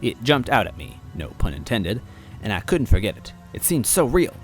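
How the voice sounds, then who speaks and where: A man reading a first-person story aloud in expressive, scripted narration. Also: lowish voice, moderate pace, faint music behind. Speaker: story reader.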